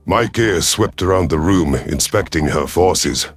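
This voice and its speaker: Very deep-voiced man narrating science fiction. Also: expressive, unhurried, slightly rough, soft background music. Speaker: audio story narrator. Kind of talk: scripted first-person sci-fi narration.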